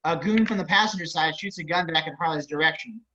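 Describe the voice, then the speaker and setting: A man's voice: fairly high pitch, quick pace, sharp tone. A man reading scripted action narration and stage directions over a video call. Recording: dull video-call audio.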